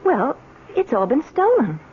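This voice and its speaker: A woman's voice: high voice, big pitch swings, unhurried. A woman playing a proper, brittle, insistent wife in a 1970s radio drama, precise and theatrical. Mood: flustered, polite. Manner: precise, theatrical, self-correcting. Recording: muffled old radio broadcast.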